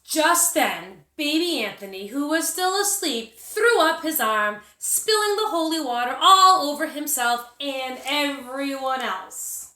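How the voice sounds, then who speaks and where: A woman teacher reading a children's story aloud, expressive and deliberate in her telling. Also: sits high. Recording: roomy, echoing sound.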